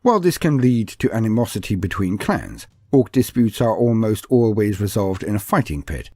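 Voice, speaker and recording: A man's voice: on the low side, lively intonation, normal speed, darkish tone, dry recording, studio quality. A man reading scripted fantasy battle lore as a narrator, with a grave, theatrical delivery. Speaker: narrator of a fantasy war-game lore video. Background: music.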